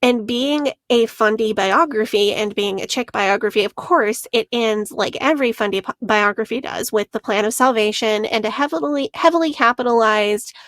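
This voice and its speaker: Higher-voiced female podcast co-host, earnest and analytical, dry close recording. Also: normal speed, few pauses, fairly bright tone, no echo. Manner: earnest, analytical, laughs between points. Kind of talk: casual podcast discussion.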